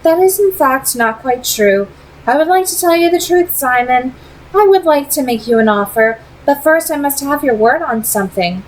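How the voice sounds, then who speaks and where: A woman's voice: sits high, animated, voice pushed up, rough recording. A woman voicing a proud, secretive female vampire who leads a clan and speaks formally and persuasively in a read-aloud story. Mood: coolly persuasive. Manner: formal, deliberate, dramatic phrasing.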